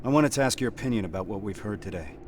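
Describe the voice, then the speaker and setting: An actor playing a stern, dutiful resistance squad sergeant with a commanding military manner in a video game. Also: male voice, lowish voice, lively intonation, racing, slight background noise, close to an everyday voice. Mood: grave, probing. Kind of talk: dramatic cutscene acting. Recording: game music behind the voice.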